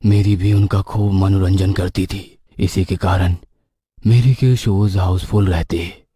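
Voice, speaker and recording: Read this horror story as a male narrator: keep it low-pitched and rough-edged. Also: steady tone, measured pace, warm tone, no room sound, studio quality.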